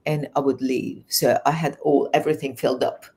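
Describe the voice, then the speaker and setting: Interview guest: Farsi-native woman, Iranian-English accent, earnest, lively storytelling. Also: extremely low pitch, a little fast, husky. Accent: Iranian, learned English in England. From Iran. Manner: earnest, animated, with restarts.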